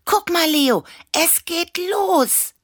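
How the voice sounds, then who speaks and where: A woman voicing a jealous, bossy little girl toy in a children's storybook, sharp and emphatic in her exclamations. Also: on the low side, big pitch swings, slow, no room sound, studio quality, pitched above the performer's own voice, full character voice. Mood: thrilled.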